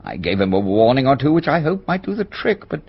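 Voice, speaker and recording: An actor playing a reserved middle-aged British solicitor in a radio drama, speaking formally, precisely and guardedly. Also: male voice, medium pitch, big pitch swings, a little fast, creaky, husky, heavily acted. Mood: guarded, sceptical. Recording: slightly muffled radio drama recording.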